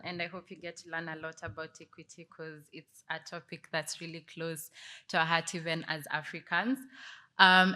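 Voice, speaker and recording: Woman, Kenyan accent, low pitch, flat intonation, conference presenter. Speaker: panelist, tech community manager presenting.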